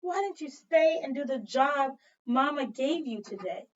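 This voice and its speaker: A woman teacher doing an expressive read-aloud for children, telling the story dramatically and prompting listeners with questions. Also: high-pitched, measured pace. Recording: dull lo-fi sound, distant from mic.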